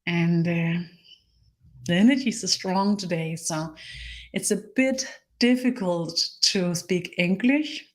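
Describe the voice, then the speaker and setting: Slow-spoken woman with a Danish accent. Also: on the low side. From Denmark. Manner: slow, halting, searching for words. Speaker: energy healer. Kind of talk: live-streamed spiritual session.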